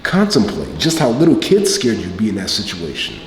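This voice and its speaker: A man's voice: on the low side, huge pitch swings, slight background noise. Actor playing defensive, cynical man in an echoey hall. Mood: earnest, insistent. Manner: deliberate, emphatic, self-justifying. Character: defensive, cynical man confessing about a one-night pickup. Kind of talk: dramatic stage monologue. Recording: echoey hall, long reverb, faint room ambience.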